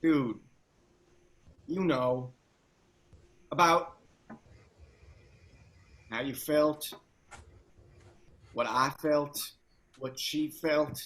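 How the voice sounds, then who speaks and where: A man acting a shaken, grieving young activist in a screenplay table read, with halting, emotional delivery. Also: fairly high pitch, a bit bright. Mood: wounded, confrontational.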